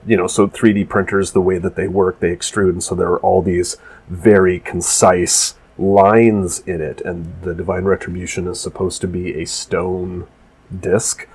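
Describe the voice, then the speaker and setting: Man, deep and slightly husky, congested and nasal; loose rambling voice-over for a maker video. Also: a little mellow. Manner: rambling, full of restarts and fillers. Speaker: maker explaining his prop build. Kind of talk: casual voice-over narration of a build video.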